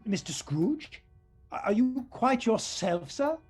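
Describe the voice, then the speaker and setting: A man's voice: sits high, very animated, slow, a little mellow, slightly rough, faint music behind, heavily acted. An actor playing a meek male clerk in an audio drama, deferential, hesitant and apologetic toward his boss. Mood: meek, anxious. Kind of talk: theatrical audio drama acting.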